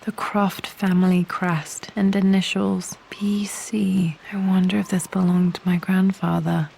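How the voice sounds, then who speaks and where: An actress playing a thoughtful young British woman explorer in a video game, musing softly to herself. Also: medium pitch, little pitch movement, unhurried, vocal fry, husky. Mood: quiet, curious. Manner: soft, hushed, reflective.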